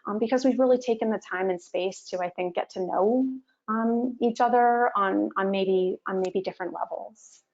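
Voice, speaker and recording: A young woman speaking earnestly and hesitantly as a guest, often pausing on fillers. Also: mid-range voice, darkish tone. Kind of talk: casual conversation. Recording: slightly muffled audio.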